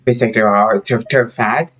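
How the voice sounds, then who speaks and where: A man giving casual, halting commentary while livestreaming a video game. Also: fairly low pitch, steady tone, fairly bright tone, husky, soft background music. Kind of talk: casual live gameplay commentary.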